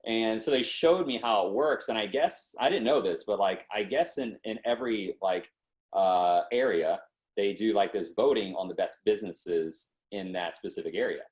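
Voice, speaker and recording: A man in his late twenties with a somewhat low voice tells stories as a podcast guest. His talk is loose and slangy, and he drops into the voices of people he quotes. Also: moderate pace. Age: 20s. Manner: lively, slangy, acts out quotes. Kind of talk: casual storytelling in an interview.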